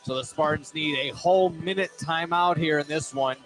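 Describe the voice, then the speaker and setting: A man's voice: a little high, normal speed, music in the background. A man giving live play-by-play commentary as a basketball announcer. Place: indoor gym at a basketball game. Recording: loud gym noise behind the voice. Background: loud game ambience.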